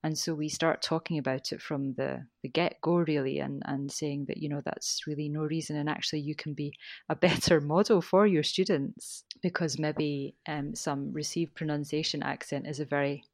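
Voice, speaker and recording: A woman's voice: low voice, steady tone, normal speed, darkish tone, dry recording, studio quality. A woman with a Scottish accent, a teacher trainer answering interview questions in a thoughtful way, restarting her sentences often.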